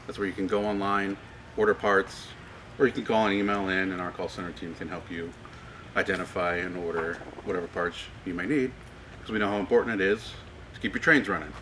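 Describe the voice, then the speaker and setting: A man in his thirties with a low, American voice leads a tour group between tall warehouse shelves. He explains things plainly and checks in with the group with questions. He is picked up by a handheld camera over steady background hum. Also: brisk, poor audio. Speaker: parts manager giving a tour. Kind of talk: guided tour talk to a group. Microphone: far camera held by a tour member.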